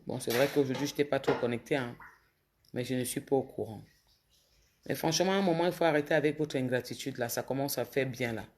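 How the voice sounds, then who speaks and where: Woman on a phone livestream, sick and a bit hoarse, fed up, telling off commenters. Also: very deep voice, fast, a little mellow. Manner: indignant, scolding commenters directly. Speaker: social media livestreamer. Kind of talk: casual livestream talk to viewers.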